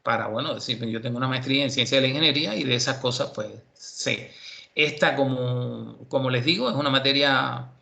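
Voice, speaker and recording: A man from Cuba teaching an online university class, relaxed and chatty with many fillers. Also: medium pitch, fairly quick, creaky. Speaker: university professor.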